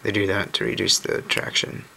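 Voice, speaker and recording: A hoarse man, recovering from a lost voice, chatting casually and thinking aloud as he hosts a home video. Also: on the low side, normal speed. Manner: relaxed, thinking aloud with mild uncertainty. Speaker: cube channel host. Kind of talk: casual unboxing commentary. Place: indoors at a wooden table. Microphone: camera mic on a tabletop shot of hands. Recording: home camera audio with steady noise.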